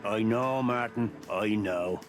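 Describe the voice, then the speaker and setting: A man's voice: on the low side, slow pace. An actor playing a weary, kindly old animal in a noir video game, folksy and fatherly, with music underneath. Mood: warning, fatherly. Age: older.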